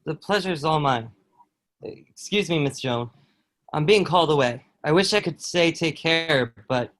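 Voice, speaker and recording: Male actor as medieval guard, higher-pitched, dry, scornful, stage drama reading. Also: lively intonation, measured pace, fairly bright tone. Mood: softened. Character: scornful male Burgundian soldier guarding a prisoner. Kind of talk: dramatic table read of a historical play.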